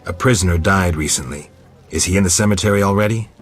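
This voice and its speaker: A man voicing a stoic, gruff monster hunter in a video game, terse, deadpan and sardonic. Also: low-pitched, quick pace, faint music behind, close to an everyday voice. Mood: curt, probing.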